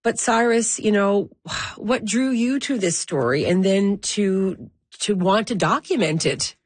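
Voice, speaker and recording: A woman's voice: mid-range voice, a little slow, no echo. A woman hosting an interview, chatting casually and leaning on fillers as she asks questions. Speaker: interview host. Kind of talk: conversational interview.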